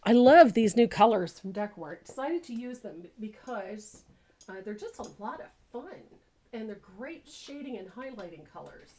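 Woman, 60s, congested and gravelly, mellow tone; chatty craft-studio host on a boom mic. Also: expressive, moderate pace. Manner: chatty, warm-hearted, laughs often, many ums. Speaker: painting teacher, live show host. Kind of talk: casual live painting tutorial. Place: home craft studio, indoors. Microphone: studio mic on boom arm.

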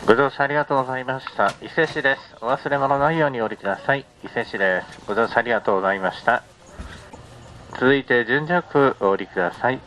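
Male conductor, bright forceful tone, formal routine patter, noticeable train noise behind. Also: medium pitch, low-quality recording. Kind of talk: train announcement. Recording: train announcement with background noise.

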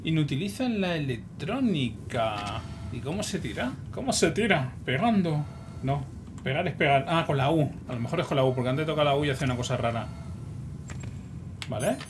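A man with a Spain Spanish accent giving casual, excitable commentary while he plays a game. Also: on the high side, moderate pace. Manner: chatty, excitable, talks to himself. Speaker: gameplay streamer. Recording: noisy recording.

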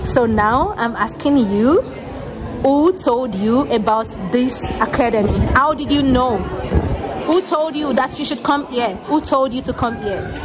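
A woman vlogger interviewing students, patient and encouraging, rephrasing her questions slowly. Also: high voice, animated, over music, low-quality recording. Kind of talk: casual on-camera interviews.

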